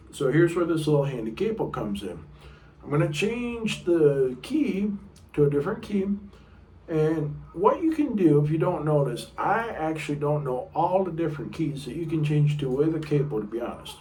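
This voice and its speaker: A middle-aged man with a low baritone voice teaching a casual guitar lesson, chatty and rambling, sometimes singing short notes. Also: a little mellow, slight background noise. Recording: room mic a bit far off, guitar playing.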